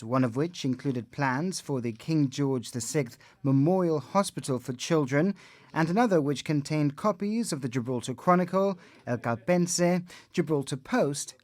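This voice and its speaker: A man's voice: a little high, no echo. A man narrating a scripted television news report as the reporter.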